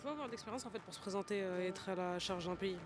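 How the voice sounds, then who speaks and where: A man's voice: high voice, fast talker. A man passerby giving critical opinions, often searching for the right words. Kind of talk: casual street interview opinion. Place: outdoors on a city street.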